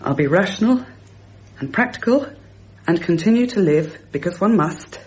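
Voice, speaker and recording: An actress playing a rational, brittle grieving mother in a naturalistic radio drama, speaking in halting, repeated, self-correcting phrases. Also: lowish voice, lively intonation, darkish tone, muffled recording, a little hiss or hum, close to an everyday voice. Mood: numb, grieving.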